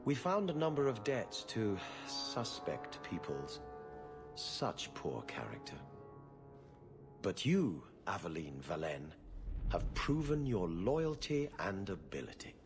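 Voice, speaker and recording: A man's voice: on the low side, wildly swooping pitch, takes it slowly, over music, heavily acted. A man voicing a stately city official in a video game, speaking in a formal, officious manner. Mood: formal, approving.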